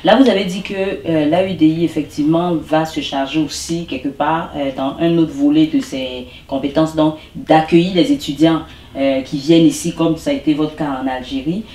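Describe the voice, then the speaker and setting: A woman's voice: deep voice, fairly even intonation, normal speed, dark tone. A woman hosting a web show, asking questions attentively and backing the guest with short replies. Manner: attentive, frequent short backchannels. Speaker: host, interviewer. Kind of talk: interview questions. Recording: echoey room, mic at a distance.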